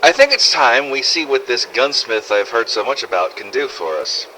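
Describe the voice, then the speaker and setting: A man's voice: mid-range voice, very animated, moderate pace, pushed and bright, a little hiss or hum, poor audio. A man playing a weary, sarcastic survivor of a zombie outbreak, narrating first-person audio logs in a scripted game-story style. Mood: wry. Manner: sardonic, exasperated, swearing.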